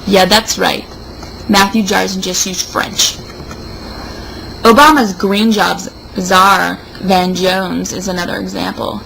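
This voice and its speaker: Tipsy woman with a creaky voice. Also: medium pitch, flat delivery, slow pace, a bit bright, slight background noise, rough recording. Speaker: show host reading an opinion article aloud. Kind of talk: theatrical read-aloud with mocking asides.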